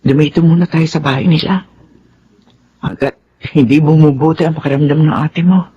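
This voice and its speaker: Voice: mid-range voice, fairly even intonation, moderate pace, warm tone, dull audio, a little hiss or hum, close to an everyday voice. An actor with a Filipino accent playing a concerned, firm parent in a radio drama. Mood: worried.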